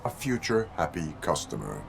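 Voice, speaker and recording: A man playing a stoic, dryly menacing cyborg policeman with measured, deadpan delivery in a video game. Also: very deep voice, creaky, slight background noise, exaggerated voice.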